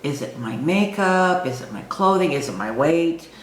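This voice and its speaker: Woman, mid-sixties, low-pitched voice, informal reflective vlog, hesitant thinking aloud, roomy echo. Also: expressive, moderate pace. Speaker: online video host. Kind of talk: reflective personal talk to camera. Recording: echoey room with faint background noise.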